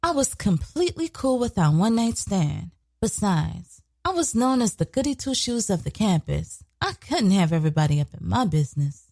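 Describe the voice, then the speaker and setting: A woman's voice: medium pitch, sing-song, normal speed, vocal fry, hardly any pauses, dark tone, dry recording, studio quality. A woman playing a sly, brash young woman killer in an audio drama, telling her story in a sassy, profane, colloquial way. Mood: boastful, dismissive. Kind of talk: scripted first-person narration, audio drama.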